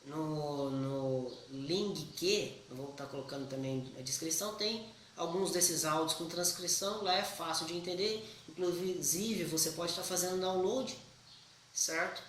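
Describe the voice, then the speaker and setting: A young man from Brazil explaining casually to his viewers, chatty and blunt, often checking in with a quick "right?". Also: a little high, a bit bright, far from the mic, slight background noise. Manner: chatty, keeps asking "certo?", slangy and blunt. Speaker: vlogger. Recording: echoey room, voice a bit off-mic.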